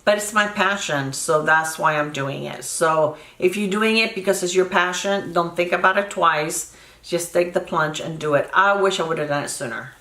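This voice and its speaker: A woman's voice: lowish voice, moderate pace, pushed and bright. Chatty woman in her sixties, echoey room. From Cuba. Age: 60s. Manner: candid, rambling, many fillers. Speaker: beauty vlogger. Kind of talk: casual chat to camera.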